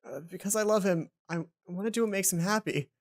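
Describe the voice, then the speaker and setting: A woman's voice: medium pitch, fairly quick, close to an everyday voice. A woman voicing a timid, heartbroken male student inventor in a visual novel. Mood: shaky, sad.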